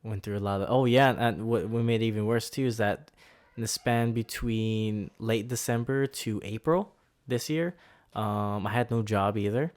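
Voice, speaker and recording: A man's voice: fairly low pitch, moderate pace, a little mellow. A man in his twenties, co-hosting a podcast in a laid-back, rambling way, often laughing. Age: 20s. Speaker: podcast co-host. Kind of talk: casual chat.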